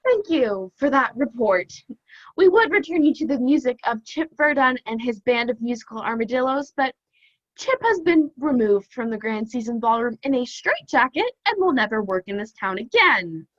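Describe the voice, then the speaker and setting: A woman's voice: a little high, lively intonation, normal speed, dry recording. A young woman playing a formal, unflappable radio station announcer in a comedic radio play. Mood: formal, deadpan. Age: young adult. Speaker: student actor. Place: room at home. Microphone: laptop webcam. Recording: slightly dull, dry webcam sound.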